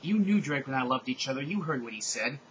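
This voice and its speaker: A man's voice: mid-range voice, lively intonation, quick pace, fairly bright tone, lo-fi sound, slight background noise, natural voice. A man voicing an earnest, lovelorn teenage girl heroine in an overwrought storybook manner. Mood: betrayed.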